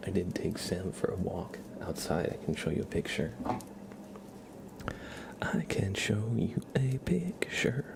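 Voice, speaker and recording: Guy doing asmr live chat, whispery n breathy, low voice, kinda rambly and soft. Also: very animated, a little slow, long pauses. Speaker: ASMR livestream host. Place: room at home with a window.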